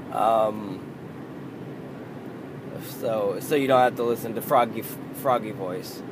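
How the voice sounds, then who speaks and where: A man in his thirties talks slowly and haltingly, hoarse and croaking as his voice gives out. He rambles through a casual solo podcast and swears under his breath. Traffic noise clearly runs behind him. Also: mid-range voice. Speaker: podcast host.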